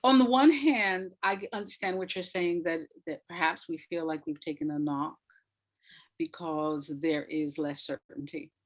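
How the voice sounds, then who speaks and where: A woman speaking as an interview guest, reflective and emphatic, deliberately repeating words to stress her points. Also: low voice. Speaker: interview guest, audio drama writer.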